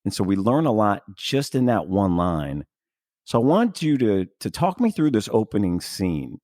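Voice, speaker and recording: A man with a deep voice hosts a casual interview podcast. He talks effusively and rambles through his thoughts. The sound is dry, with very little room. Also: very animated, moderate pace, a little mellow, no room sound. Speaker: podcast host.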